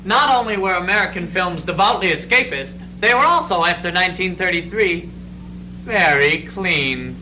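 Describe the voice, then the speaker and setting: A young man reads theatrical narration with emphatic, declamatory phrasing. His voice is high and extremely bright and forceful. A little noise is on the stage recording. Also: lively intonation, normal speed, low-quality recording. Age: young adult.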